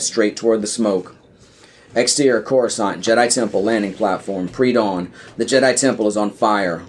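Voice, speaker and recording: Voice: mid-range voice, darkish tone, a little hiss or hum. A podcast narrator reading scripted screenplay stage directions.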